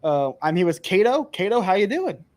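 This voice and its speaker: A man's voice: high-pitched, sing-song, moderate pace, a little mellow. A man hosting a live podcast, chatty and rambling, laughing often and a bit tipsy. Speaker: podcast host. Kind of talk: casual chat.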